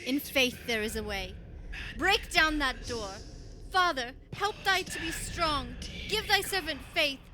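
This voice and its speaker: A woman's voice: high-pitched, expressive, measured pace, music in the background. An actress playing a grave, devout woman in an old-time style radio horror drama. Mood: urgent, praying. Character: grave, devout woman guide fearfully invoking God.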